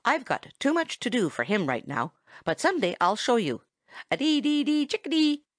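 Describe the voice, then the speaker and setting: A woman's voice: medium pitch, huge pitch swings, moderate pace, no room sound, studio quality. A woman voicing a cheery, boastful little male chickadee bird in a storybook reading, bubbly and merry. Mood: breezy, busy.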